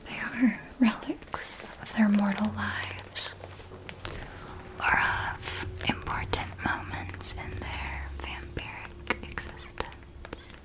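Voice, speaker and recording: A woman playing an elegant, seductive vampire mentor in an ASMR roleplay, speaking formally and deliberately in a soft, breathy whisper. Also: medium pitch, fairly even intonation, close to an everyday voice, poor audio. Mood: hushed, grave. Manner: slow, deliberate, formal, with lingering pauses.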